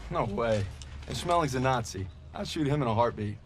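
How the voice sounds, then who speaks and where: A man's voice: medium pitch, lively intonation, a little fast, faint music behind, natural voice. A man playing an earnest, principled young American infantry soldier in a war game, plainspoken and folksy. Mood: firm. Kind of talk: war game cutscene acting, narration and dialogue.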